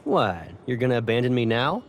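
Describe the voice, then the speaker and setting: A man's voice: mid-range voice, very animated, brisk, a little hiss or hum. A man playing a young pilot cadet, a doting and teasing boyfriend, in a romantic game fan dub. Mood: teasing, tender.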